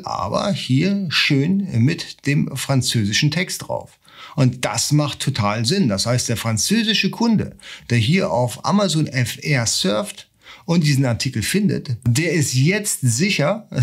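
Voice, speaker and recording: Guy walking thru a screen tutorial, raspy fry in his voice, kinda husky. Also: medium pitch. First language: German. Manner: explanatory, rhetorical questions. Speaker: online seller tutor.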